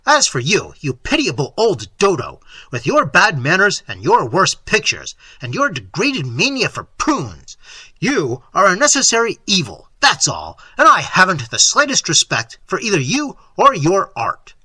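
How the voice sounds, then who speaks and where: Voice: mid-range voice, wildly swooping pitch, a little slow, bright, forceful tone, breathy edge, no echo, exaggerated voice. A narrator voicing an earnest, indignant young male suitor, boldly defiant and emphatic, in a story reading. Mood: fierce, defiant. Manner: emphatic, hissing, declamatory.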